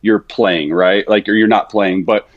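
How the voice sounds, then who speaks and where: A man in his thirties, a football quarterback, talking casually as a podcast guest, with a relaxed, conversational manner and frequent fillers. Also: lowish voice, measured pace, no room sound, narrow, tinny audio. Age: 30s. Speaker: guest, NFL backup quarterback.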